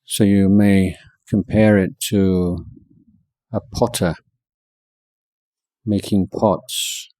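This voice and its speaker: A man guiding a meditation as a teacher, speaking in short measured phrases with long pauses between them. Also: sits low, little pitch movement, warm tone, dry recording, studio quality. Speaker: Buddhist monk teaching meditation. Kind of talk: guided meditation instruction.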